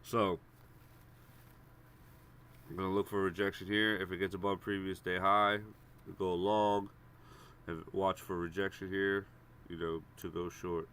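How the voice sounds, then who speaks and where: Stuffy low-voiced man calling stock levels. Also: level tone, a little slow, long pauses, fairly bright tone, slight background noise. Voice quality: congested, nasal. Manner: casual, repetitive, addresses viewers as guys. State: stuffy head cold. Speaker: stock trading streamer. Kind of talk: live premarket chart walkthrough.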